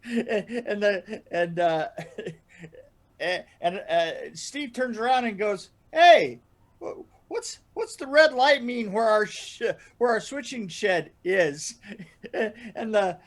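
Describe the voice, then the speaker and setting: A middle-aged man telling casual stories in an animated way, often chuckling and restarting his phrases. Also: sits high. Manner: animated, laughs through his stories, halting starts and repeats. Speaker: podcast storyteller and guest regular. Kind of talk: casual anecdotal storytelling. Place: indoor room, video call.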